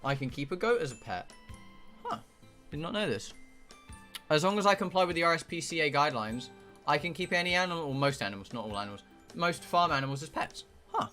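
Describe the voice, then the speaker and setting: A British man with a slightly high, bright and forceful voice chats casually to his stream viewers. He is blunt and jokey and swears freely, with music playing behind him. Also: lively intonation, fast talker. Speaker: live streamer playing a visual novel. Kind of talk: casual rambling chat with viewers.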